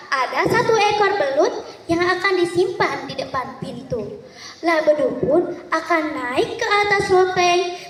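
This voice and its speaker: A woman narrating a folk tale theatrically as a contestant, deliberate and with dramatic pauses. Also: very high-pitched. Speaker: storytelling contestant. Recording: echoey hall, distant microphone.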